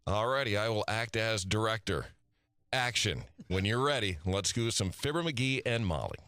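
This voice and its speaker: A man's voice: lowish voice, lively intonation, normal speed, fairly bright tone, dry recording. A man hosting a radio interview in a studio, chatty and upbeat. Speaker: radio host.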